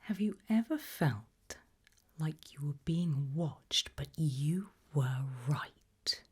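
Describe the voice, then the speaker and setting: Slow whispering woman, ASMR. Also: sits low, expressive. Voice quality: breathy, unvoiced whisper. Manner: slow, hushed, deliberate questioning. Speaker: ASMR host.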